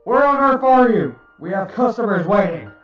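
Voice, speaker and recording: A man's voice: high-pitched, big pitch swings, normal speed, faint music behind. A man playing a stern, demanding father who runs a restaurant, bossily calling out in a fan comic dub. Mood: impatient. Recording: dull, echoey, far from microphone.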